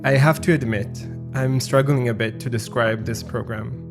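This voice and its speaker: Calm male podcast narrator over guitar. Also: medium pitch, normal speed. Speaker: podcast host. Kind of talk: scripted narration.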